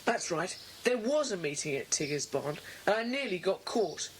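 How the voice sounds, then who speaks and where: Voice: medium pitch, big pitch swings, moderate pace, close to an everyday voice. An actor playing an earnest schoolboy club member in a children's audio drama, speaking in a matter-of-fact way. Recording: faintly noisy 1980s cassette.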